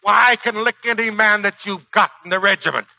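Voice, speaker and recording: An actor playing a proud, embittered old Marine sergeant in a 1940s American radio drama, with gruff, slangy, uneducated speech. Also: male voice, high voice, fairly even intonation, fairly quick, pushed and bright, dry recording, heavily acted. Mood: indignant. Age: older. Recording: dull 1940s radio recording, faint music.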